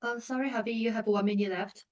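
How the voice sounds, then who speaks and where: A woman's voice: a little high, steady tone, quick pace, darkish tone. A woman hosting an online event over a video call, polite and informal as she organizes things aloud. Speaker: event moderator.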